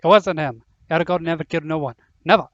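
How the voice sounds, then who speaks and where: Man acting big protective schoolboy, raised pitch, emotional, colloquial, dull dry sound. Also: fast, dry recording, lightly acted. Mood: desperate pleading. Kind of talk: audio drama acting.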